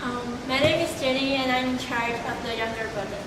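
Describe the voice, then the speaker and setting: A woman's voice: sits high, steady tone, fairly quick. A young woman giving considered answers in an informal interview about her work. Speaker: student puppeteer being interviewed. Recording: echoey, distant, noisy room.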